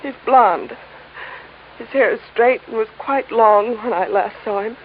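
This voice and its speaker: A woman's voice: fairly high pitch, a little slow, natural voice. Woman acting an anxious, refined client on crackly radio. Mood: anxious, earnest. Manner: polite, formal, hesitant, earnest. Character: anxious, refined, guarded young woman seeking her missing brother. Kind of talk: 1940s-50s radio drama acting. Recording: tinny, noisy old radio recording.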